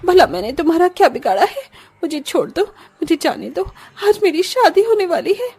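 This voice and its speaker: A woman's voice: extremely high pitch, sing-song, normal speed, darkish tone, exaggerated voice. A woman voicing a frightened young bride in a cartoon story, stammering and pleading. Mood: terrified pleading.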